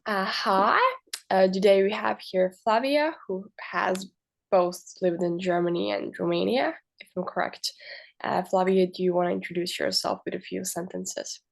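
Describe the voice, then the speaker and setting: A woman's voice: medium pitch, steady tone, moderate pace, creaky, low-quality recording. A woman hosting a podcast, asking casual, slightly tentative interview questions in her English. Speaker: podcast host.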